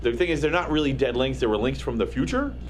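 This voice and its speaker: A man's voice: mid-range voice, expressive, fast talker, a little hiss or hum. A man hosting a casual tech podcast, rambling with many ums and jokey asides, speaking into a tabletop mic. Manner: rambling, full of ums and jokes. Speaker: podcast host. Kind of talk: casual chat.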